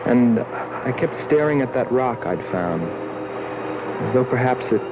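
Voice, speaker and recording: An actor playing a brooding astronaut in a grave, deliberate 1960s science fiction film voice-over. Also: male voice, medium pitch, animated, lightly acted. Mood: uneasy. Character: brooding male astronaut narrating his uneasy recollection. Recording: muffled old film soundtrack, loud background noise.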